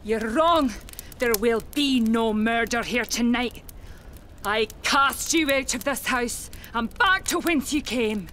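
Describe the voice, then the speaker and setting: An actress playing an eccentric, flighty and solemn psychic medium in an audio horror drama, with flowery, genteel speech. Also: female voice, fairly high pitch, slow, fairly bright tone, slight background noise. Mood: commanding.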